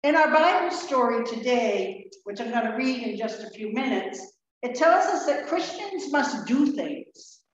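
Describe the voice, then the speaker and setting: A woman giving a children's message in a church, slowly posing what-if questions to a child, recorded from afar in the sanctuary. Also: on the high side, lively intonation. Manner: slow, gentle questioning of a child. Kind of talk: children's sermon storytelling. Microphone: lectern mic from a far camera. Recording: echoey, dull church room recording from afar.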